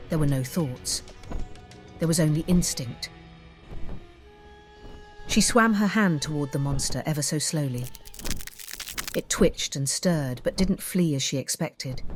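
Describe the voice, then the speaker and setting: A woman with a low voice reads a dark fantasy tale as an audiobook storyteller. Her delivery is scripted and dramatic, with deliberate phrasing. Music plays softly behind her. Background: background music.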